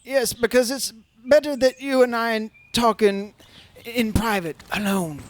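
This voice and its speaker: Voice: medium pitch, measured pace, lightly acted. An actor as a stammering, nervous old scientist. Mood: anxious. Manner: stammering, repeating words, timid. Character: nervous, hesitant older male scientist, anxious and secretive. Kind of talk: radio drama acting.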